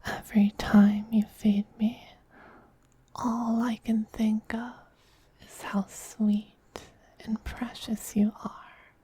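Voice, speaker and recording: Man as a whispering, dark-toned, effeminate vampire queen. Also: high voice, flat delivery, no room sound, exaggerated voice. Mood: tender, soothing. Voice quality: breathy, whispery, soft. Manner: slow, soothing, doting. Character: tender, dominant, effeminate vampire queen soothing a beloved pet. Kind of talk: soft-spoken ASMR roleplay.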